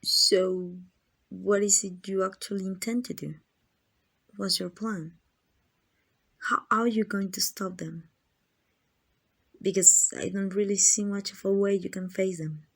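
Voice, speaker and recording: A woman's voice: medium pitch, steady tone, a little slow, long pauses, slightly rough. A woman voicing an arrogant, possessive female vampire in an ASMR roleplay, teasing and condescending to the listener. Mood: coy, measured. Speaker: amateur voice actor.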